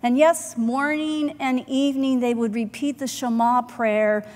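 Slow, earnest woman pastor preaching. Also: fairly high pitch, fairly even intonation, darkish tone. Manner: measured, earnest preaching with deliberate pauses. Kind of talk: sermon. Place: church sanctuary, in front of the chancel. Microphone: pulpit microphone, far camera. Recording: long church hall reverb.

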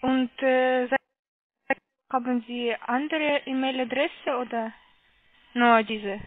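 Female telesales agent, foreign accent, high pitch, slow hesitant delivery, thin phone-line sound. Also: steady tone, dry recording. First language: not German. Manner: halting, hesitant, often checking with "ja". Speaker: phone sales agent for an investment firm. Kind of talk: scripted sales call. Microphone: telephone. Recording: muffled narrow phone line.

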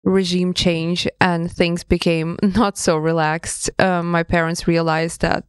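A woman's voice: lowish voice, level tone, takes it slowly, clean tone, no room sound, clean studio sound. A woman in her thirties from Russia, talking as a podcast guest in a hesitant, thoughtful way and laughing often. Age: 30s. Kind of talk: casual interview conversation. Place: studio room with table. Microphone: studio mic with headphones.

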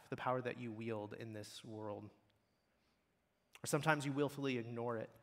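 A man's voice: mid-range voice, a bit bright. A man preaching a sermon in an earnest, blunt way that builds in intensity. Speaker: pastor. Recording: long hall reverb.

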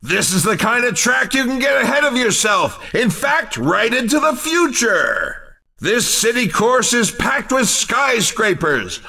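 An excitable male video game race commentator, with a very high, bright and forceful voice. He hypes everything like a showy announcer, drawing his words out at an unhurried pace. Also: vocal fry, far from the mic, heavily acted. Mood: excited hype. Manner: showy, punning, announcer-like hype.

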